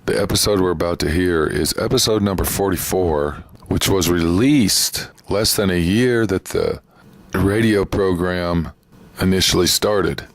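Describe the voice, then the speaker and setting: Deep-voiced, slow-talking man hosting. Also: no echo. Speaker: host introducing old radio episodes. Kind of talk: casual spoken introduction.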